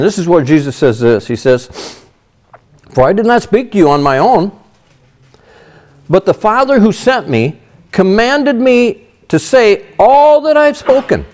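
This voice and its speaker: A man's voice: sits high, darkish tone. A middle-aged man teaching a sermon through a Bible passage in a conversational way, leaning on "you know" as he explains. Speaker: pastor.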